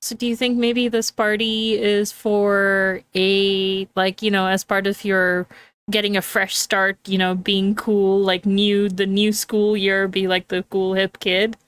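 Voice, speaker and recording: A woman's voice: medium pitch, flat delivery, measured pace, fairly bright tone, clean tone, dry recording. A woman playing a tabletop role-playing game, casually thinking aloud and asking questions to set up the scene.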